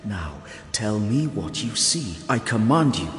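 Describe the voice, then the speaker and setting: A proud elf prince speaks slowly and solemnly, with formal command. His voice rings in a long cavern reverb over music in a fantasy audio drama. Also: lowish voice, very animated, breathy edge, exaggerated voice. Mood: stern, commanding.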